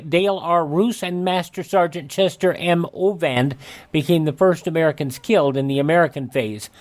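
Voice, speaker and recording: A man hosting a radio show, reading a scripted rundown of historical dates. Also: a little high.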